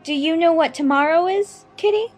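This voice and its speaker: A woman playing a lively, chattering little girl who mock-scolds her kitten in a dramatized children's storybook. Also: very high voice, normal speed, soft background music. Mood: chatty, excited.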